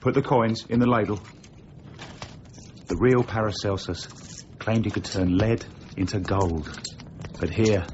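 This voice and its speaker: A man's voice: on the low side, unhurried, pauses often, darkish tone, lightly acted. A man acting in a radio drama as a silver-tongued, boastful rogue, a counterfeiter and quack doctor with a persuasive manner. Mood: persuasive, eager. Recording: dull lo-fi radio recording, faint noise.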